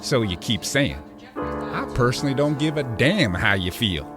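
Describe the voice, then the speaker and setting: A man's voice: mid-range voice, very animated, normal speed, natural voice. An actor playing a serious, controlled man in an audio soap drama. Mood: cold, hostile. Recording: music clearly under the voice.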